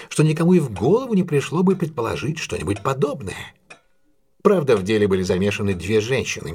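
An actor playing a shrewd detective in an audio drama, recounting a solved case in a measured, deliberate, explanatory way. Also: male voice, mid-range voice, husky. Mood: analytical, emphatic. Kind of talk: dramatised storytelling monologue in an audio play.

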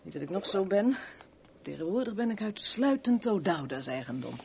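A woman's voice: mid-range voice, sing-song, normal speed, vocal fry, mellow, breathy edge, full character voice. An actress playing a worldly, sardonic kept woman in a 1970s radio play, with a wry, teasing, blunt way of talking. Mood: wry, bored.

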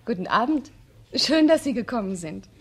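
A woman's voice: on the high side, expressive, normal speed, husky. An actress playing a chatty, flirtatious woman of about twenty in a radio crime drama, eager to impress. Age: 20s. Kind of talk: 1970s German radio drama acting.

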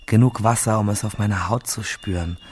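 An actor playing a grave, parched captive awaiting execution in a radio drama monologue, slow and subdued, sometimes near a whisper. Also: male voice, fairly low pitch, fairly even intonation, darkish tone, natural voice. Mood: weary, grave.

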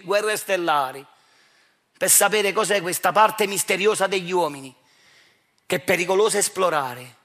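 A man's voice: high-pitched, bright, forceful tone. A man with a Neapolitan accent performing a theatrical monologue, addressing his audience directly in a provocative, accusatory way with rhetorical questions. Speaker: stage actor performing his own monologue.

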